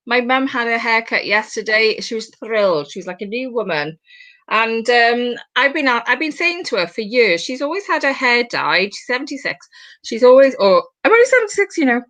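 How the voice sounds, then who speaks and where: A woman in her forties with a British accent, hosting a casual chat over a video call, rambling with quick asides. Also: a little high, sharp tone. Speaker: host.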